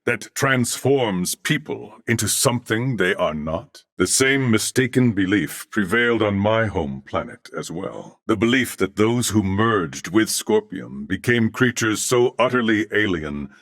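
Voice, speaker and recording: An armored cyborg man from a video game, courteous and solemn. His low voice has a gravelly creak and moves through expressive rises and falls. Every phrase is formal and measured, with noble earnestness. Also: no echo, studio quality. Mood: calm, reflective. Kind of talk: video game character voice acting.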